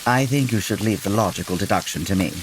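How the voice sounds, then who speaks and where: A man playing a logical, formal gentleman detective with theatrical, proper diction in a comedic audio drama. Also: lowish voice, fast, background noise, natural voice. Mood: exasperated. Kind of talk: comedic old-time radio drama acting.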